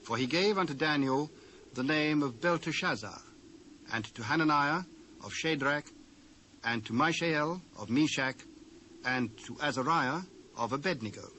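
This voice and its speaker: A man's voice: on the high side, sharp tone. Formal British man narrating scripture. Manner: formal, measured. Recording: noisy old cassette transfer.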